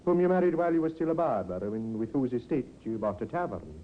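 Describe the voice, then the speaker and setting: An actor playing a calm, methodical male police inspector in a vintage radio drama, formal and politely insinuating. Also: mid-range voice, fast, lightly acted. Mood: politely probing. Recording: muffled, hissy 1950s radio recording.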